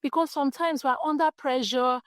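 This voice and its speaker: A woman's voice: sits high, fairly even intonation, fairly quick, a bit bright, no room sound, clean studio sound. A woman with a Nigerian English accent hosting a solo podcast, talking earnestly and encouragingly about her path as a creative and her faith. She has a small, light voice. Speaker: podcast host. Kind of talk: reflective solo podcast talk.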